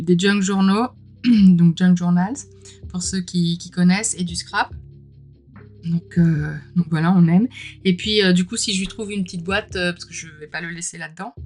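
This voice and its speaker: A woman's voice: fairly low pitch, a little mellow. A woman who is a little under the weather, chatting informally and enthusiastically as she hosts an unboxing. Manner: gushing, informal, exclaims often. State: mildly ill, clearing throat. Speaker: host of a tarot unboxing channel. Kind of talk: casual unboxing commentary. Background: music.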